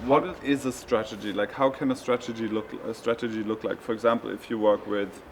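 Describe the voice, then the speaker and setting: A man hosting a travel vlog, casually interviewing a guest with halting, relaxed questions. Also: lowish voice, background noise.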